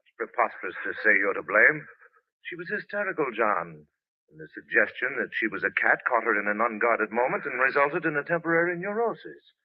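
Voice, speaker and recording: An actor playing an earnest, easily flustered doctor friend in 1930s radio horror, formal and halting. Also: male voice, medium pitch, very animated. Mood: soothing, firm. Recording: tinny 1930s radio recording.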